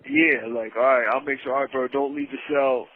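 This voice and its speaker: Male podcast co-host on a prison phone; mid-pitched, casual, blunt storytelling through a narrow, noisy line. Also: steady tone, brisk. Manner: informal, matter-of-fact, frequent swearing. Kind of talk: casual first-person storytelling. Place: inside a prison, on a phone call. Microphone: telephone handset.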